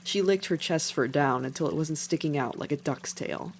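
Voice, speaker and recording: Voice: medium pitch, moderate pace. Storybook narrator with a mid-range voice. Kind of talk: scripted fiction narration. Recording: faint background noise.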